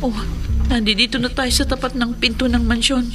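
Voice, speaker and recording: A woman's voice: a little high, flat delivery, moderate pace, over music, close to an everyday voice. A woman playing an anxious but determined wife in a horror radio drama, fretful and emotional. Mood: nervous. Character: anxious, determined wife out to rescue her husband.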